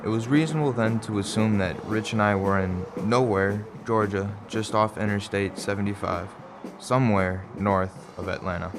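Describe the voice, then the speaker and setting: A middle-aged man playing a wry, measured father who narrates a strange roadside tale in a radio play. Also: on the low side, steady tone, natural voice. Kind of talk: scripted first-person radio play narration. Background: music.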